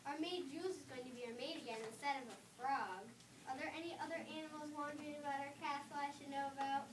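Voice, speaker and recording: A woman's voice: high-pitched, fairly even intonation, quick pace, lightly acted. A young woman playing an exasperated, bossy fairytale princess in an amateur stage reading, sarcastic and commanding. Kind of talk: amateur reader's theater performance. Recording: distant echoey room recording with noise.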